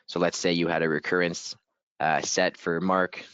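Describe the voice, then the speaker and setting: Low-voiced guy walking through software on a webinar, bit hoarse, kinda muffled dry mic. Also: flat delivery, normal speed, no echo. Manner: casual, upbeat, repeats "very, very". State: slightly hoarse, clearing throat. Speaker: software trainer. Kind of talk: webinar screen-share walkthrough.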